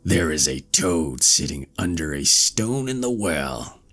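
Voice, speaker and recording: Gruff storybook devil, voiced by a male narrator: very low, breathy, slow, faint music bed. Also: big pitch swings, fairly bright tone, full character voice. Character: gruff male devil, ill-tempered and menacing.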